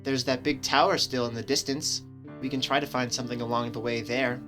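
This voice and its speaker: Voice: mid-range voice, level tone, a little fast, natural voice. An actor playing a curious, eager scientist explorer in a radio drama, explaining things in keen, formal phrasing. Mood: thoughtful. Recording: background guitar music under the voice.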